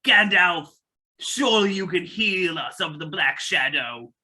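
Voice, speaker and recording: A man's voice: high-pitched, expressive, measured pace, sharp tone, exaggerated voice. A man voicing a crazed, doom-laden old ruler in a dragged-out, melodramatic table read. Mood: morbid dread. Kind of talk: amateur table read of a film script.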